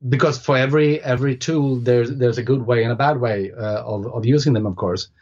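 A man's voice: medium pitch, a little fast, darkish tone. Hesitant Swedish-speaking man, lo-fi sound. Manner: thoughtful, hesitant with many restarts and fillers. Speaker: guest author. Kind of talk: casual podcast conversation. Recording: slightly dull lo-fi sound.

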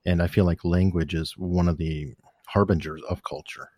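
A male host speaks in a fairly low voice with little room sound. He sounds curious and thinks out loud while putting questions in a conversational style. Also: steady tone, normal speed, no echo, clean studio sound. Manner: curious, musing. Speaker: interview host. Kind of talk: conversational interview.

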